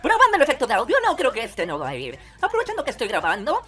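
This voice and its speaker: A woman's voice: fairly high pitch, huge pitch swings, rapid-fire, fairly bright tone. A woman with a Latin American accent chatting casually in an outtake. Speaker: fandubber.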